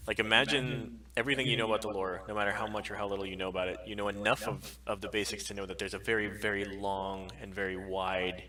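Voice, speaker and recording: A man with a fairly low pitch and a very forceful, bright edge chats casually as a livestream co-host. He talks with emphasis, and he sits a little back from the microphone. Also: flat delivery, fast, hardly any pauses, far from the mic. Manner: emphatic, frequent swearing. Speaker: podcast co-host.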